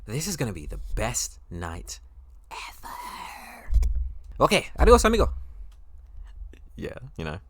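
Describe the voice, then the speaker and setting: A man voicing an excitable, affectionate young college best friend in an intimate ASMR roleplay, playful and prone to gushing rambles. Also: mid-range voice, takes it slowly, long pauses, no echo, voice pushed up, full character voice. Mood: excited, grateful.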